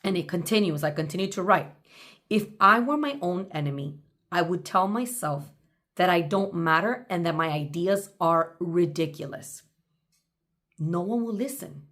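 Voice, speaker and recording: A woman's voice: lowish voice, animated, a bit bright. Woman giving an emphatic motivational talk. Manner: emphatic, deliberate, speaks directly to the listener. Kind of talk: motivational talk with reading from own journal.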